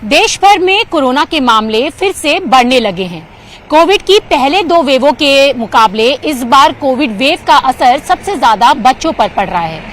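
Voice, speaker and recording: A woman's voice: high-pitched, animated, measured pace, fairly bright tone, faint music behind. A woman speaking as a TV news field reporter.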